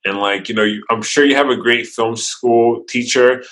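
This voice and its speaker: A man who learned English as a second language, talking in an interview as a guest videographer, energetic and quick with restarts. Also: mid-range voice. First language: not English. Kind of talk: casual interview chat.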